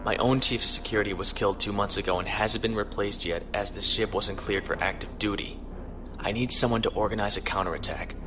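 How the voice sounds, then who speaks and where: An actor playing a calm, commanding starship captain in a measured, authoritative way for an audio drama. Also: male voice, lowish voice, music in the background, natural voice.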